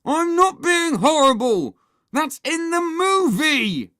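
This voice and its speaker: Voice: mid-range voice, big pitch swings, moderate pace, pitched above the performer's own voice, lightly acted. A narrator voicing a bossy, scheming young boy who commands and sneers in a children's storybook. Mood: loud, bellowing.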